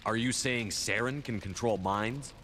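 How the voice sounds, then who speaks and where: An actor playing a resolute, blunt military commander in a video game, speaking tersely and firmly. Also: male voice, medium pitch, unhurried, a bit bright, a little hiss or hum, close to an everyday voice. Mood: questioning. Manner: terse, firm.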